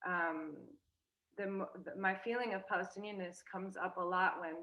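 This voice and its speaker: A woman's voice: medium pitch, steady tone, moderate pace, lo-fi sound. A young woman, a guest in a casual interview, talking hesitantly with many fillers and restarts. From United States.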